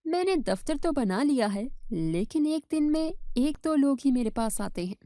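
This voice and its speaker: A woman's voice: sits high, animated, moderate pace, dark tone, dry recording, studio quality, voice pushed up. A woman voicing an earnest village matchmaker woman in a storybook tale. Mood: worried, puzzled.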